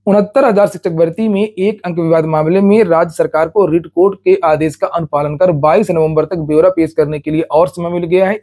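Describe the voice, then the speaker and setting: A man's voice: a little high, warm tone. A man presents recruitment news, reading out notices and explaining them in a matter-of-fact way, often repeating a word as he goes.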